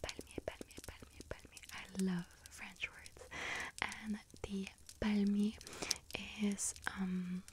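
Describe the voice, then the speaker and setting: A woman acts out a friendly little witch serving in a bakery, in an ASMR roleplay. The voice stays in a soft, breathy whisper and moves slowly. The manner is gentle, chatty and sweet-toothed, savoring each treat with small hesitations. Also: mid-range voice, level tone, close to an everyday voice. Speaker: ASMR roleplay performer as bakery shop assistant.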